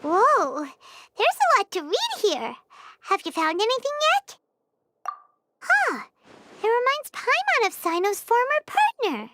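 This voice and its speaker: A tiny, curious fairy companion from a video game. The voice is extremely high and childlike, bright and bouncy in pitch, with some noise behind it. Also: female voice, wildly swooping pitch, unhurried, pauses often, exaggerated voice. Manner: refers to self in third person.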